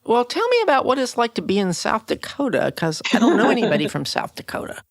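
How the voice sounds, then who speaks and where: A woman's voice: a little high, sing-song, fast, no echo, studio quality. A woman hosting a podcast interview in a casual, plainspoken, informal way.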